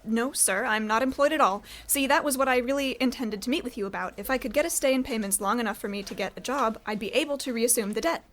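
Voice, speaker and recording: A woman's voice: fairly high pitch, quick pace, few pauses, a bit bright, lightly acted. A woman acting in an old-time radio comedy as a polite, exasperated widow, earnest and straight-faced as she seeks help. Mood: polite, pleading.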